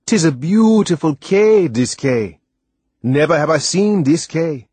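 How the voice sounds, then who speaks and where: A man voicing a hearty, reassuring old West Indian seaman in an audiobook, speaking dialect emphatically and deliberately. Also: on the high side, animated, darkish tone, breathy edge, no room sound, pitched above the performer's own voice, exaggerated voice. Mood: hearty, pleased. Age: older. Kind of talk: audiobook character voice.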